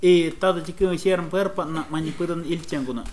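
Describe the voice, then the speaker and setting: A man's voice: on the high side, steady tone, a little mellow. Man interpreting a sermon, hesitant phrasing. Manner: quick, hesitant interpreting with fillers.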